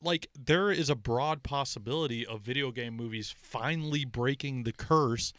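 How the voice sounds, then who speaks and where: A man with a bright, punchy voice and a clean, resonant tone, captured close and dry. He talks like an opinionated podcast co-host, rambling and animated, swearing casually as he goes. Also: medium pitch, moderate pace, dry recording. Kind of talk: casual opinionated film and game chat.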